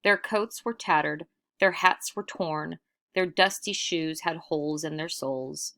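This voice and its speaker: Low, creaky woman storyteller. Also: a little slow, a bit bright. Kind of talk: expressive children's read-aloud narration.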